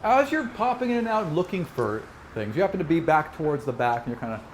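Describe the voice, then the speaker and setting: A man's voice: a little high, very animated, fast talker. Male game master, conversational tabletop narration, loose casual language, noisy recording. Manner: informal, conversational, swears casually.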